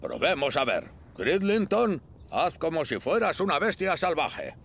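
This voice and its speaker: A man's voice: on the high side, sing-song, a little slow, gravelly, a bit bright, exaggerated voice. A man voicing a pompous, bossy older fire chief in a children's cartoon, commanding, with a Castilian Spanish accent. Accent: Spanish from Spain. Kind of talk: dubbed children's cartoon acting.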